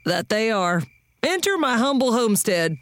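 A woman's voice: on the high side, sing-song, normal speed, background noise. An actress playing a wary, folksy cowgirl recluse with a drawl in an audio drama. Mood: wry, welcoming. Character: gruff, suspicious, folksy cowgirl recluse, armed and quippy.

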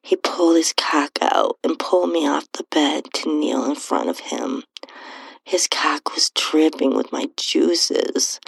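A woman narrating a first-person erotic story in an intimate, breathy way, often dropping toward a whisper. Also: low voice. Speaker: podcast host reading own stories.

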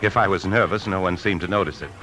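An actor playing an outwardly calm but secretly anxious bank man, with tense, clipped delivery in 1950s radio drama style. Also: sits low, fast talker, faint music behind. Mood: grave, confiding. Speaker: lead actor and narrator. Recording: vintage 1950s radio broadcast.

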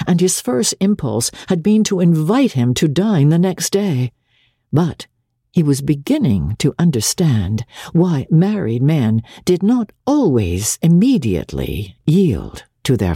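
Female literary narrator: dark, raspy timbre, animated dramatic phrasing. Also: low voice, no room sound, studio quality. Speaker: narrator and radio presenter.

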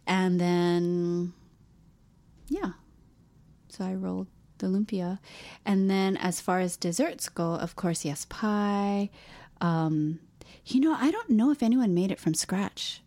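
A woman's voice: on the low side, takes it slowly, dark tone. A woman from Hawaii in casual conversation, fondly recalling family holiday food and explaining local identity. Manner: reminiscing, with frequent restarts and "like". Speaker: podcast co-host. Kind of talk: casual chat.

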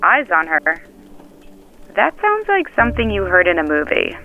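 Through a narrow phone-line filter comes a woman acting a brash, tough young woman in an audio drama. Her voice is creaky, her delivery blunt, wry and teasing. Faint music sits behind her. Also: medium pitch, huge pitch swings, normal speed. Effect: phone call filter. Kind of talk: scripted audio drama, naturalistic phone dialogue. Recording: band-limited phone line effect.

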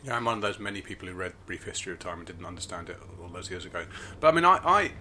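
Husky-voiced man in his fifties. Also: low voice, big pitch swings, racing, pushed and bright, a little hiss or hum. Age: 50s. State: husky from a late night. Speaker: podcast co-host. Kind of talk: casual chat. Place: garden summer house.

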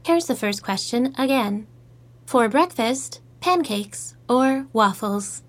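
A woman's voice: fairly high pitch, expressive, takes it slowly. A woman playing a cheerful artificial intelligence matchmaking host in an audio drama, speaking in scripted, upbeat stock phrases. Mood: cheerful, polite.

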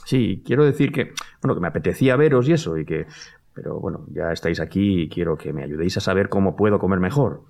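An actor playing a nervous, eager dinner host in audio fiction, stammering and repeating himself. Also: male voice, sits low, expressive, fast talker, creaky, a little mellow. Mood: nervous, hopeful. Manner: stammering, repeating words, flustered. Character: nervous, eager, evasive male dinner host among friends. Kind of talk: live-recorded audio fiction acting.

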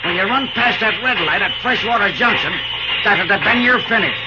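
An actor playing a gruff but good-natured American railroad engineer, talking in working-man slang in an old radio drama. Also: high voice, expressive, brisk, heavy background noise. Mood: exasperated. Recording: muffled old radio recording, loud music.

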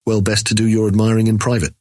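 A somewhat low, husky man's voice as a suspicious knight played by an actor in a fantasy audio drama. He is officious and drily disapproving, recorded without room sound. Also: little pitch movement, quick pace, a little mellow, dry recording, clean studio sound, lightly acted. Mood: wry.

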